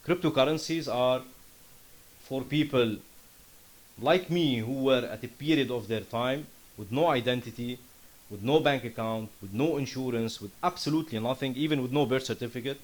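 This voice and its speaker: A man from Syria with a Lebanese accent giving an earnest, impassioned startup pitch and answering questions. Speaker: startup founder presenting. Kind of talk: conference pitch and Q&A.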